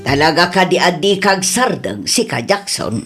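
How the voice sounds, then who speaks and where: A scolding village mother played by a woman with a fairly low voice and an Ilocano accent. She is blunt and reproachful, nagging in the manner of radio comedy drama. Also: very animated, normal speed, loud music behind the voice.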